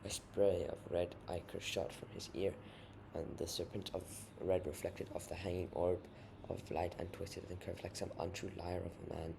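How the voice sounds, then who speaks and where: A man reading his own short story as a dramatic narration, with a gravelly voice and a grave, literary delivery. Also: deep voice, little pitch movement, normal speed, few pauses. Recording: noisy amateur home recording.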